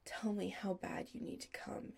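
A woman voicing a dominant, calm man who gives low, quiet, measured orders. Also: vocal fry, breathy edge, full character voice. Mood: calm, commanding.

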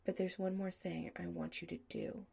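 Woman putting on a calm, commanding man. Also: gravelly, husky, full character voice. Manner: low, quiet, measured orders.

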